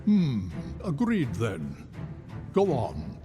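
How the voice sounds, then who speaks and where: An actor playing a stern, measured male summoned spirit who speaks in a formal, dry manner. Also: medium pitch, huge pitch swings, exaggerated voice. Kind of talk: video game voice acting. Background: game music.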